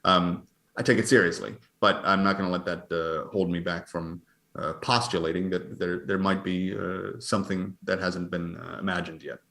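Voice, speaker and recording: A man with a fairly low voice gives conversational interview answers as an astronomer. He speaks in a halting, hedging way, feeling out his phrases as he goes. The recording is roomy, with clear echo around the voice. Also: steady tone, a bit bright. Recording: roomy, echoing sound.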